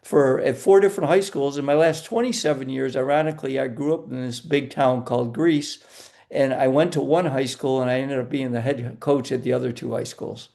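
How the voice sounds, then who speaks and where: Gravelly older man from western New York. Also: mid-range voice, steady tone, a little fast, mellow. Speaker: guest, retired basketball coach and speaker. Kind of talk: conversational life storytelling.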